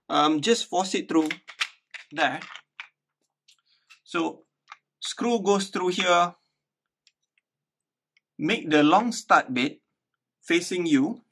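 A man's voice: fairly high pitch, a bit bright, a little hiss or hum. A man in his thirties explaining a hands-on build casually, thinking aloud and repeating words as he goes. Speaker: DIY tutorial host. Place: small living room indoors.

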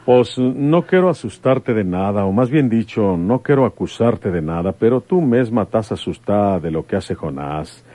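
A man's voice: fairly low pitch, animated, moderate pace, few pauses, mellow, no echo, natural voice. An actor with a Mexican accent playing a stern, probing rural detective and father in a radio drama, with rustic, insistent speech. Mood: grave, probing. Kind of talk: old-time radio drama acting.

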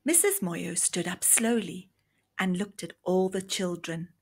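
A woman narrating a read-along storybook for young children in a deliberate storytelling manner. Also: on the low side, breathy edge.

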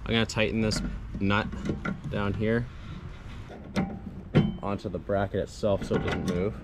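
A man's voice: fairly low pitch, steady tone, measured pace. A young adult man giving a casual, hands-on tutorial, explaining step by step in an informal way as he works. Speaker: DIY car video host. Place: in and beside a parked car outdoors. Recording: clear background noise, vehicle ambience.

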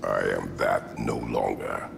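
Casting: stern aging warrior god for a game, extremely low growl, terse and formal, long reverb. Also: male voice, takes it slowly, music in the background, exaggerated voice. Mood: grim, curt. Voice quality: very deep gravelly growl. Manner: terse, grave, formal phrasing. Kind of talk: video game acting.

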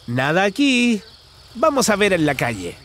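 A man's voice: high-pitched, very animated, a little slow, fairly bright tone, slight background noise. A man narrating a children's cartoon in an exaggerated, singsong way, talking to the characters.

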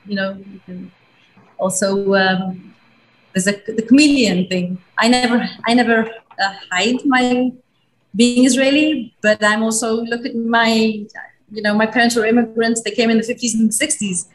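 Halting woman artist on a video call. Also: fairly high pitch, steady tone, a little hiss or hum, rough recording. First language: Hebrew. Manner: halting, searching for words, many restarts. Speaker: artist panelist. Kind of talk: video call panel talk. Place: bright home studio room.

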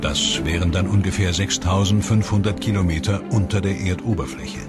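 A man giving measured, explanatory scripted voice-over narration for a documentary. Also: low-pitched. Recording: voice-over with music behind.

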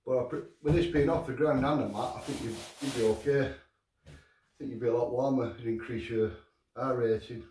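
A man's voice: mid-range voice, steady tone, brisk, mellow. A middle-aged man with a Northern English accent, casually reviewing camping gear in his living room, rambling and matter-of-fact. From England. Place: living room at home, indoors. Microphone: far camera on a tripod. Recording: roomy, distant camera audio, faint noise.

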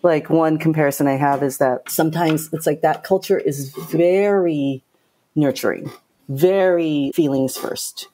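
Woman, middle-aged, low pitch, mellow tone, direct, outspoken, interview guest. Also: unhurried. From Japan. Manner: direct, outspoken, animated storytelling. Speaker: game producer, guest. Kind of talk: casual interview talk.

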